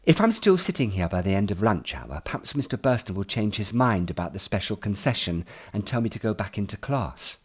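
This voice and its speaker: A man's voice: lowish voice, brisk, hardly any pauses, breathy edge. A man reading an audiobook as an anxious, earnest ten-year-old schoolboy telling his worries in the first person. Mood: anxious waiting. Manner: earnest, fretful, expressive storytelling. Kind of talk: first-person audiobook narration in present tense.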